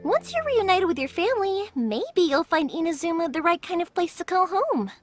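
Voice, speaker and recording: Bright bubbly fireworks girl, high and lively, music under it. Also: normal speed, dry recording. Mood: encouraging. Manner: bubbly, laughs often. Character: cheerful young woman fireworks maker, warm and lively.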